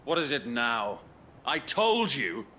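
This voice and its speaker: A man's voice: medium pitch, big pitch swings, takes it slowly, sharp tone, slight background noise, exaggerated voice. An actor playing a haughty, contemptuous enemy soldier in a video game, sneering and declaiming his threats. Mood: scornful. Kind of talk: theatrical video game villain acting.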